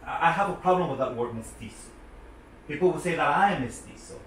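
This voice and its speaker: A man's voice: a little high, expressive, fast talker, a bit bright. A man conducting an interview, hesitant and restarting his words as he asks questions. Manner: hesitant, repeats words when starting. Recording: very echoey room, distant mic, faint noise.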